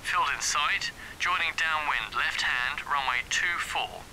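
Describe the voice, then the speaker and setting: A man playing a calm, businesslike pilot making clipped, formulaic calls over an aircraft radio. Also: on the high side, slow pace, exaggerated voice, low-quality recording. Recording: hissy, harsh aircraft radio transmission.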